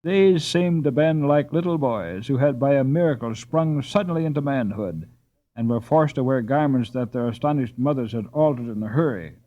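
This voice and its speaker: A man reading a children's story aloud as an audiobook narrator, with an expressive and deliberate storytelling delivery. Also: mid-range voice, muffled recording. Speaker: audiobook reader.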